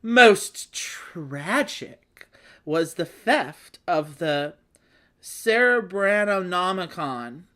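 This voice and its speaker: A woman's voice: fairly low pitch, lively intonation, very slow, a bit bright, full character voice. A woman voicing a polite, dramatic, aggrieved museum official, reading out a game's story text in a formal, theatrical way.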